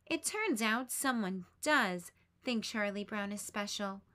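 A woman teacher reading a children's picture book aloud, expressive and lifting her voice for the characters' lines. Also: slow pace. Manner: expressive, lifts pitch for quoted lines.

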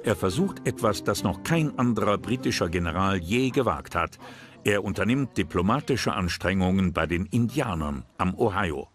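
A man reading scripted historical documentary narration in a measured, dramatic storytelling manner. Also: deep voice, lively intonation. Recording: prominent music behind narration.

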